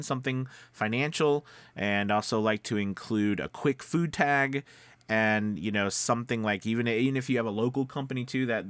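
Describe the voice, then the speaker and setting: A man's voice: lowish voice, fairly bright tone, no room sound. A man hosting a casual instructional vlog as a coach, explaining conversationally and often checking in with the listener. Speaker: voice-over coach and video host.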